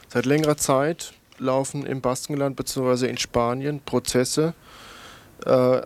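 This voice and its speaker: A man with a creaky, mid-pitched voice reads a radio news report. His delivery is matter-of-fact and scripted, with the occasional small stumble.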